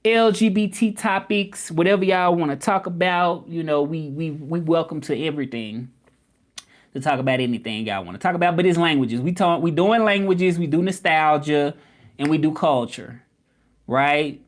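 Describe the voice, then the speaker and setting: A man's voice: on the high side, normal speed. A man, casually chatting on his livestream and greeting viewers. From Memphis, US South. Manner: loose, rambling, greets viewers by name. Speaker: livestream host and language teacher.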